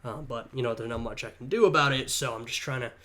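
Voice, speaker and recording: A man's voice: mid-range voice, fast talker, fairly bright tone. Congested man musing aloud. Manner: thinking aloud, hedging and musing. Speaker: history student reacting to a video. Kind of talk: casual reaction commentary.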